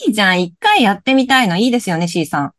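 A woman's voice: mid-range voice, animated, very fast, a bit bright, no room sound, studio quality, lightly acted. An actress in a radio drama playing a cheerful young woman who keeps pressing her friends to join a party. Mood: eager. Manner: insistent, cheerful, persuasive. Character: eager young woman planning a party, pushy.

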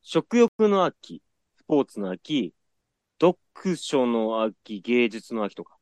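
A man's voice: medium pitch, very animated, slow pace, a little mellow, no echo. An actor in a radio drama playing a mild young man who keeps wandering off topic. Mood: offbeat. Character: offbeat young man, easily distracted, chatty.

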